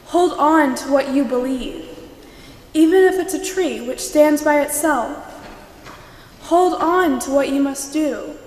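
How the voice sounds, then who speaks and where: A young woman reading a benediction in a measured, poetic style in a church. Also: high-pitched, darkish tone. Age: young adult. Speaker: benediction reader. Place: large church sanctuary. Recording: echoey hall reverb.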